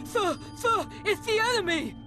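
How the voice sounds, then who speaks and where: A high, jumpy henchman, servile and eager to obey a villain. The cartoon delivery swoops around, with faint music behind. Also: animated, a little slow. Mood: alarmed. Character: jittery, eager loyal minion soldier of a villain.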